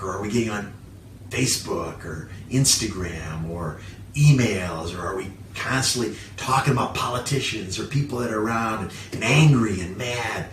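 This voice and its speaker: A man's voice: fairly low pitch, fairly bright tone. Male pastor preaching in an echoey room. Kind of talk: sermon with scripture reading. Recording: roomy, distant mic, background hiss.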